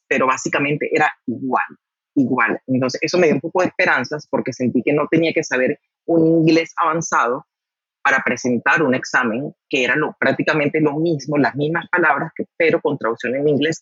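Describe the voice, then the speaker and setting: A husky-voiced man in his thirties talks through his own story in a relaxed interview. He stumbles and repeats words as he remembers. The sound is dull and dry, like a remote call. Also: on the high side, no echo. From Venezuela. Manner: hesitant, repeats words while recalling. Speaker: guest, doctor. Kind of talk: casual interview answers.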